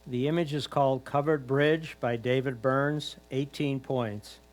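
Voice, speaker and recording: A man's voice: mid-range voice, fairly even intonation, measured pace. Man formally announcing competition results. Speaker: competition announcer reading titles and scores.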